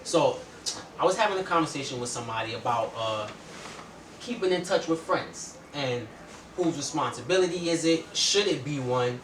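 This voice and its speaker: A man's voice: a little high, expressive, moderate pace. A man hosting a podcast in casual, slangy, reflective conversation. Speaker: podcast host. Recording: roomy echo, distant mic, faint noise.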